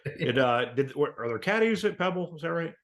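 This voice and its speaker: A man's voice: fairly high pitch, animated, brisk, fairly bright tone. A man hosting a podcast in casual, conversational talk. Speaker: podcast host. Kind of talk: casual chat.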